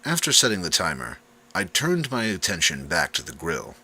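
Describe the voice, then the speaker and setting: Very deep, forceful male story narrator. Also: huge pitch swings, normal speed, husky. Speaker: audiobook narrator. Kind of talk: dramatic scripted first-person story narration.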